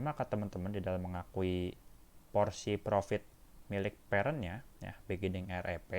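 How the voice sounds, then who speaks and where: A man's voice: on the low side, a little mellow, slight background noise. An Indonesian man giving an informal step-by-step tutorial as an accounting instructor, often addressing his listeners directly. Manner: addresses listeners as friends, frequent checking tags. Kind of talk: tutorial walkthrough, informal teaching.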